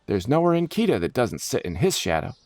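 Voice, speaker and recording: A man's voice: mid-range voice, sing-song, brisk, a little mellow, no echo, voice pushed up, lightly acted. A man voicing an eager, proud male adventurer who explains things earnestly, as storybook character dialogue. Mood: reverent.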